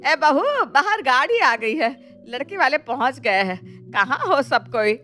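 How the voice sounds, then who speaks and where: A woman playing a naive, old-fashioned middle-aged mother-in-law who fusses and scolds in an animated family story. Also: high-pitched, unhurried, faint music behind, exaggerated voice. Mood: flustered, calling out. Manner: scolding, fretful, bossy. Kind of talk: animated Hindi moral story acting.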